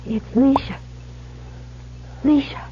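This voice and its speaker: An actress playing an anxious, devoted wife in an old-time radio drama, speaking with emotional urgency. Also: female voice, high-pitched, slow, a little hiss or hum. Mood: anxious, tender. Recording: muffled old radio broadcast, music behind.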